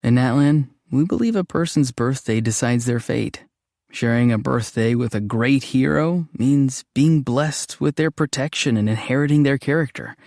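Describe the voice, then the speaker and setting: An actor voicing a gentle, odd, introverted young man in a video game, speaking in an earnest, hesitant, matter-of-fact way. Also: mid-range voice, creaky, no echo, studio quality, close to an everyday voice. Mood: warm. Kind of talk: scripted game character lines.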